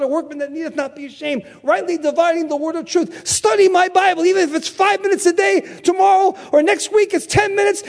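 An older man preaching a sermon, repeating key phrases and calling out questions for the congregation to answer. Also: very high voice. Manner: call-and-response, emphatic repetition.

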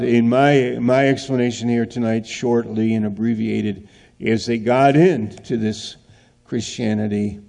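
A man's voice: on the low side, vocal fry, darkish tone. A man preaching an earnest sermon who asks rhetorical questions and acts out scenes.

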